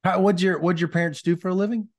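A man from Mississippi, casually interviewing a guest with halting, informal questions. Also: high-pitched, fairly even intonation, darkish tone. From Mississippi, United States. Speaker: podcast host, interviewer.

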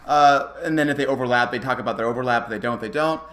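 Man, rapid speech, bright sharp tone, chatty podcast co-host, casual sports talk. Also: mid-range voice. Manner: informal, chatty. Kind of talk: casual basketball podcast chat.

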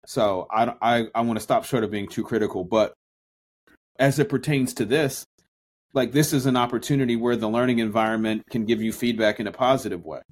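A man co-hosting a podcast, thinking out loud in loose, casual talk. He often restarts his sentences and stretches out key phrases while he works toward his point. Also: medium pitch, brisk. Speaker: podcast co-host. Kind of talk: casual discussion.

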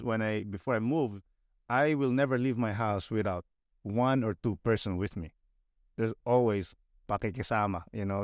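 Blunt, animated Filipino man. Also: medium pitch, no echo, studio quality. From Philippines. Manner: blunt, animated, swears, mocks with put-on quotes. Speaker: podcast guest. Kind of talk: casual podcast chat.

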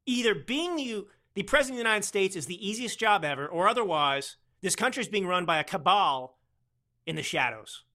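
A man's voice: sits high, lively intonation, fairly quick, pushed and bright. A man hosting a political commentary podcast, talking in a sarcastic, mocking, rambling way with frequent restarts. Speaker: podcast host.

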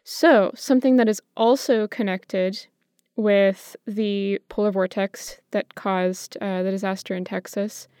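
An American woman with a smooth, clear voice and a very dry sound. She co-hosts a conversational show, laying out explanations in a measured way. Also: medium pitch, steady tone, warm tone, no room sound. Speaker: podcast co-host.

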